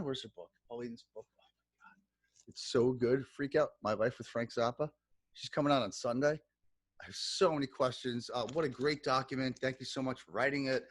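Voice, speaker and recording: A man's voice: mid-range voice, fairly bright tone, no echo. A man hosting a podcast, talking enthusiastically in a rambling, casual way with plenty of fillers. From New York. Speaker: podcast host.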